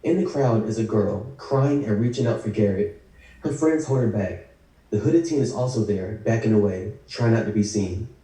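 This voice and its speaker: Male script narrator, scripted action lines, very dark timbre, roomy and off-mic. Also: lowish voice, expressive, moderate pace. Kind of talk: reading screenplay action lines at a table read. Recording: very echoey room, distant mic.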